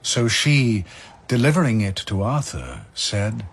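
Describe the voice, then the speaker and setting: A man narrating archaic verse as a dramatic story, measured and declamatory. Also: fairly low pitch, very animated, creaky, breathy edge.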